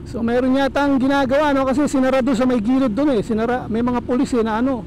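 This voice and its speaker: A man's voice: extremely high pitch, fairly even intonation, quick pace, a little mellow. A man with a Filipino accent giving casual commentary in a raised voice as he rides a motorcycle, recorded on a helmet lavalier. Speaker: motovlogger. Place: outdoors on a motorcycle in town traffic. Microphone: lavalier microphone in a helmet. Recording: noisy street with traffic and engine.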